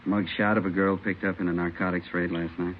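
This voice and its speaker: An actor playing a plain-spoken, grave police narcotics captain, brisk and businesslike, in a 1950s radio drama. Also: male voice, low-pitched, little pitch movement, lightly acted. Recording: muffled old radio recording, faint noise.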